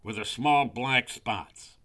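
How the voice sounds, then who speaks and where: A blunt husband crow from a storybook, acted out by a man. He is plain-spoken, in a bright voice lifted a little above a normal male pitch. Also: slow pace. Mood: matter-of-fact.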